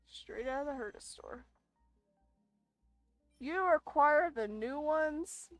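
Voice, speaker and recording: A woman's voice: sits high, animated, slow, no room sound, soft background music. A woman giving casual gameplay commentary, informally thinking aloud as she plays. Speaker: gamer playing a role-playing game for viewers.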